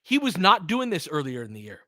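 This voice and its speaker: A man's voice: on the high side, huge pitch swings, fairly quick, bright, forceful tone, no room sound, studio quality. A man giving live basketball play-by-play with informal, slangy fan reactions mixed into casual chat. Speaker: host of a fan play-by-play stream.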